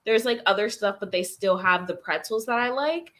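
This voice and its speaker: A woman's voice: medium pitch, normal speed, fairly bright tone. Sweary, sassy woman on a casual livestream. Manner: sweary, blunt, playfully sassy. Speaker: reading livestream co-host.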